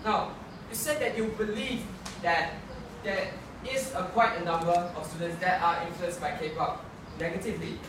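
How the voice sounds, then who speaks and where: A young man argues his case in a formal debate, restarting phrases as he goes. His voice sits high, and it carries through a very echoey room with clear background noise. The mic picks him up from a distance. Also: steady tone, moderate pace. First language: not English. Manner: earnest, argumentative, frequent self-corrections. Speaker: student debater. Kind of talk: competitive debate speech.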